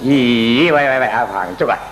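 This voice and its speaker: A man playing a nosy, excitable pal in a comedic radio drama, teasing and exclaiming in a Hong Kong Cantonese accent. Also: medium pitch, moderate pace, over loud music, lightly acted. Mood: teasing, surprised. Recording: roomy, echoing radio drama recording.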